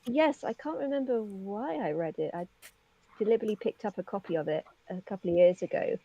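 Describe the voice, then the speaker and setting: A woman's voice: medium pitch, a little fast, warm tone. A woman co-hosting an interview in casual, chatty style, heard on a lo-fi microphone. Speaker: interview co-host. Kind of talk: casual chat. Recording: dull lo-fi mic, dry.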